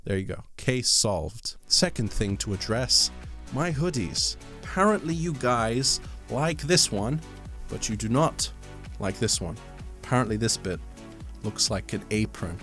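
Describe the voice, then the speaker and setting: A man from London with a mixed English, Irish and American accent, presenting scripted vlog commentary with rhetorical questions and deliberate pauses. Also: on the low side, lively intonation, a bit bright, soft background music. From London, England. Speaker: host of a crypto video channel.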